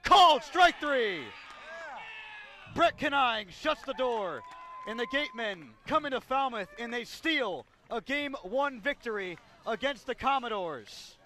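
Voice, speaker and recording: A man calling baseball play-by-play for radio in a measured delivery that builds on big plays, working as a broadcaster at an outdoor ballpark. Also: very high voice, big pitch swings, bright, forceful tone, a little hiss or hum. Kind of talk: radio sports commentary.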